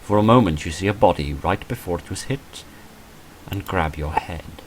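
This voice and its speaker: A man's voice: deep voice, normal speed, a little hiss or hum, rough recording. A man reading visual novel text aloud dramatically, with dry, understated wry commentary in between. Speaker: gamer recording a playthrough.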